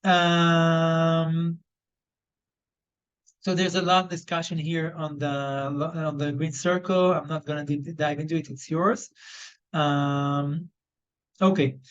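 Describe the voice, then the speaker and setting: A man's voice: fairly high pitch, level tone, slow, pauses often, smooth, lo-fi sound. A man with an Israeli accent leading a live online job search training. He talks loosely and conversationally, with many fillers and restarts. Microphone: video call.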